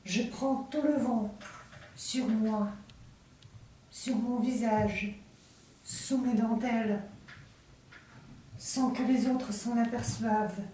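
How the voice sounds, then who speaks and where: Very slow, echoey woman reciting poetry. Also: on the high side, fairly even intonation, mellow. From Russia. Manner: deliberate, declamatory, short phrases with pauses. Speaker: poet reading own work. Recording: very echoey room, distant mic, faint noise.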